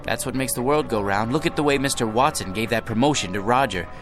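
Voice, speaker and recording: An actor playing a weary, generous and wistful millionaire in a radio drama. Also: male voice, medium pitch, quick pace, natural voice. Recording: music under the dialogue.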